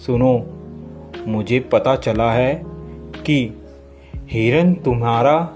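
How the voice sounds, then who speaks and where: A man's voice: medium pitch, darkish tone, close to an everyday voice. A man voicing a sly, falsely friendly wolf who cajoles smugly in a storybook tale. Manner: cajoling, smug.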